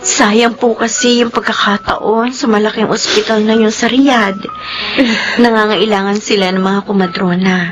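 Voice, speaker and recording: A woman's voice: mid-range voice, steady tone, normal speed, close to an everyday voice. An actress playing an earnest young woman in a radio drama, speaking with emotion and close to tears. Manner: emotional, tearful. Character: young woman, resentful daughter, tearful. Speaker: midwife character. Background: faint music.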